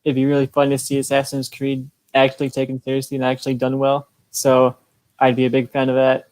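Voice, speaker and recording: A man's voice: medium pitch, monotone, brisk, a little mellow, no echo. A man chatting casually as a panelist on a podcast. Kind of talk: casual chat.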